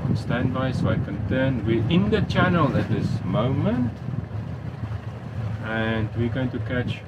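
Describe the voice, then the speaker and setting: Middle-aged guy talking sailing outdoors on a boat, loud wind and engine noise behind him. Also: mid-range voice, sing-song, unhurried, dull audio. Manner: plain explaining, restarts phrases, chuckles now and then. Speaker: sailing vlogger. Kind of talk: casual instructional talk. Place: outdoors on a catamaran deck by the sea. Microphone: headset mic at first, then a camera mic up close.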